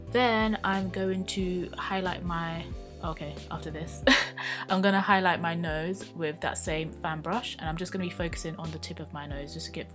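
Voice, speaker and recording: Casual woman, lower voice, croaky morning voice-over of a makeup look, faint music under it. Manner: relaxed, chatty, with many ums and likes. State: croaky, just woken up. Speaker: beauty vlogger.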